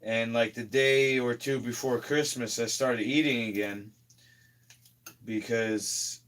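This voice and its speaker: Hoarse, slow-talking man. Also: mid-range voice, steady tone. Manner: slow, rambling, trailing off quietly. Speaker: health vlogger. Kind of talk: casual rambling vlog talk.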